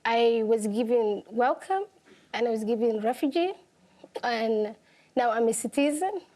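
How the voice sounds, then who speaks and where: A woman's voice: fairly high pitch, a little slow, dark tone. A young woman from Somalia, speaking earnestly as an interview guest. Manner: earnest, simple phrasing, laughs easily. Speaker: guest, activist. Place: television studio.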